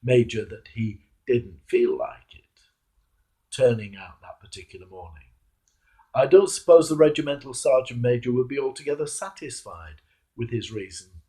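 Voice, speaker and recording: A man, a clergyman, reading a devotional sermon in a measured, emphatic manner with rhetorical turns. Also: mid-range voice, lively intonation, dark tone. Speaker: Anglican clergyman reading a reflection. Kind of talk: devotional sermon, read aloud.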